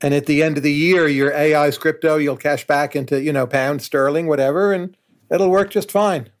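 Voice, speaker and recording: Man, sixties, slightly high voice, professor guest, measured and assured. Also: steady tone. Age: 60s. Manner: measured, confident, declarative. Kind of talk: conversational interview.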